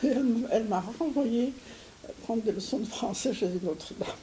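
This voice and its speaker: An older woman from Syria giving an interview, speaking hesitantly with many restarts and soft laughs. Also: a little high, moderate pace, crowd noise, poor audio. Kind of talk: recorded interview.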